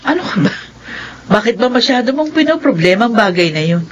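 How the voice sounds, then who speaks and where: A woman's voice: a little high, sing-song, fairly quick, lo-fi sound. A woman playing a worried, pleading middle-aged wife and mother in a Filipino radio drama.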